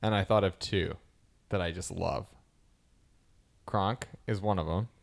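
Eager low-voiced man chatting. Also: measured pace, vocal fry. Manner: enthusiastic, laughs often while retelling scenes. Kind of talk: casual chat.

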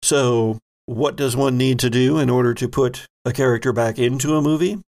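A man with noticeable fry in his voice acts as game master. He sits at an average male pitch and narrates playfully, improvising as he describes each scene. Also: steady tone, moderate pace, a little mellow. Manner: descriptive, playful storytelling with pop-culture asides. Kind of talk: improvised narration of a tabletop horror-comedy game.

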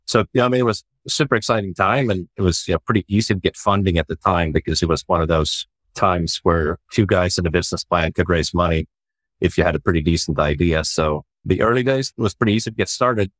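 A man, a startup founder answering interview questions in a relaxed, reflective way with many "you know" fillers. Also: deep voice, fast, dry recording, poor audio. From Sweden.

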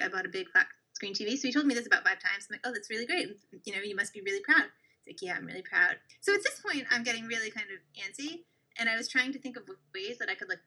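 A young woman co-hosting a casual chat, telling an animated dating story and acting out the quoted lines. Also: mid-range voice, slightly rough, poor audio. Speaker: co-host.

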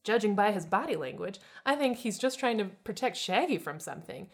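A woman's voice: mid-range voice, animated, brisk. A woman voicing a brainy young female sleuth in a cartoon story, dry and matter-of-fact. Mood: deadpan.

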